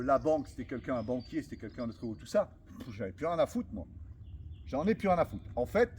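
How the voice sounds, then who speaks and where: A man's voice: medium pitch, a little mellow, a little hiss or hum. A middle-aged man, a former navy commando, giving a blunt motivational talk to a group, full of restarts and rhetorical questions.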